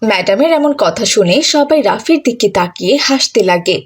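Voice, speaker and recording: A woman with a husky voice narrating a scripted children's story. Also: medium pitch, animated, fairly quick.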